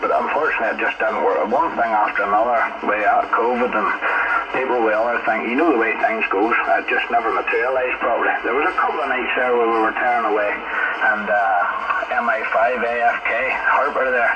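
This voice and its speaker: A Northern Irish man comes through muffled over two-way radio, buried in loud static. He talks as a radio ham in a casual, rambling style full of colloquial tags. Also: mid-range voice. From Northern Ireland. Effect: two-way radio transmission. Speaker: amateur radio operator. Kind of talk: casual radio contact chat.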